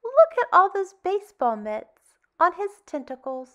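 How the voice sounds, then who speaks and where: A woman reads aloud to young children in a high voice. Her pitch swings widely in a sing-song, exaggerated way. She goes slowly and enunciates each phrase for preschool listeners. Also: very animated, a bit bright, highs cut off. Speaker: storytime host for young children.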